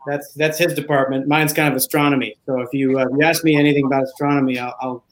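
Guy voice actor on a panel, chatting casually, middle pitch, keen but halting, repeats words. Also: little pitch movement, low-quality recording. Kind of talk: casual interview chat.

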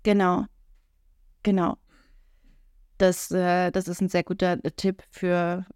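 A woman's voice: on the low side, darkish tone, no echo, studio quality. A woman speaking frankly and chattily on a casual podcast, with many fillers. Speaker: podcast co-host.

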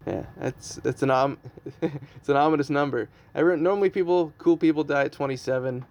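A man's voice: fairly high pitch, fairly quick, darkish tone. A man in his early thirties, a comedian, chatting casually and rambling with self-mocking humor and frequent laughs. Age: 30s. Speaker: comedian podcast host. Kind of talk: casual chat.

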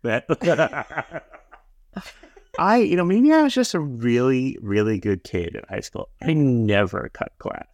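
Guy cohosting a podcast, low gravelly voice, kinda laid back, dry mic. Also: big pitch swings, slow, darkish tone, dry recording. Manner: easygoing, recaps with fillers. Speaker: podcast co-host. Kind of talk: casual chat.

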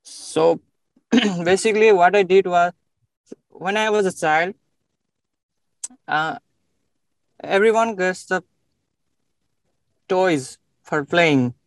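A young man from Nepal, speaking in a casual interview, often pausing and searching for words with many fillers. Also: high-pitched, no room sound, poor audio.

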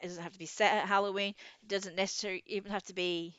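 A woman's voice: medium pitch, little pitch movement, racing, fairly bright tone, no echo, lo-fi sound. A woman co-hosting a podcast, chatting about books in an effusive, informal way. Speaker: podcast co-host. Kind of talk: casual book chat.

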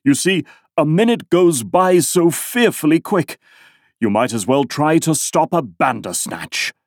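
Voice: medium pitch, lively intonation, measured pace, a little mellow, no echo, studio quality, pitched above the performer's own voice, close to an everyday voice. A narrator voicing a fussy, pompous storybook king who speaks in a dramatic, plaintive way.

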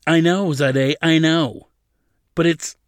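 A man's voice: medium pitch, moderate pace, dry recording, studio quality, close to an everyday voice. A man voicing a stubborn, sulky eighteen-year-old prince with petulant protests, as in an audiobook. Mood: defeated. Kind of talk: audiobook character dialogue.

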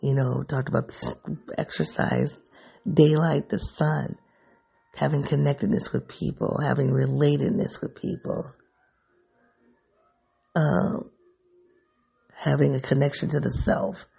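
A man with a raspy, tired voice talking through a personal reflection to his viewers, musing and rambling as he searches for answers. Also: fairly high pitch, steady tone, measured pace, pauses often, mellow. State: sleepy, voice going. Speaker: vlogger.

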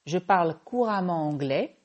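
A French woman who teaches French, hosting a slow, clear language-lesson podcast and patiently correcting her own small slips. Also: on the low side, animated, darkish tone. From France.

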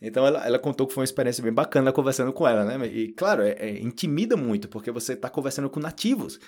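A chatty Brazilian man hosts a relaxed podcast lesson and speaks with a Brazilian Portuguese accent. His voice sits at an ordinary middle pitch. He peppers his talk with fillers and jumps into a higher voice whenever he acts out someone he is quoting. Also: very fast. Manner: lots of fillers like "tipo" and "né". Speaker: Portuguese teacher, podcast host.

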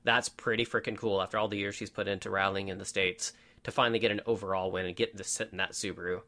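Bright-voiced male podcast host. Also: fairly low pitch, little pitch movement, quick pace. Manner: upbeat, chatty, laughs easily. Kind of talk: casual podcast chat.

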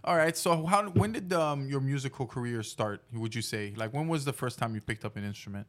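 A man's voice: mid-range voice, a little fast, a bit bright. A young man hosting a podcast, asking questions casually, hesitant, with many fillers and restarts. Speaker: podcast host. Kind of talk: casual interview.

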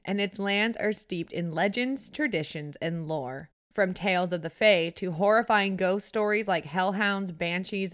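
A woman hosting a paranormal podcast, narrating in a soft, hushed storytelling voice and sounding stuffy from a sore throat. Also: medium pitch.

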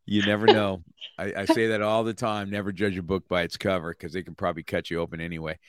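A man's voice: deep voice, little pitch movement, fast, clean tone, dry recording. A man hosting an interview, talking in a rambling way with many restarts and chuckles. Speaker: podcast host. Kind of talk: casual interview chat.

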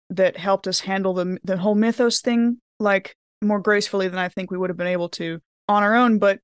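A woman's voice: mid-range voice, dry recording, clean studio sound. A woman chatting informally as a player in a tabletop role-playing game, her talk peppered with "like". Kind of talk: casual chat during a game.

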